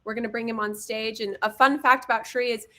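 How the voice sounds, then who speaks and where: A woman's voice: a little high, fairly even intonation, bright, forceful tone. Upbeat woman hosting a webinar. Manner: chatty, enthusiastic, quick. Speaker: webinar host.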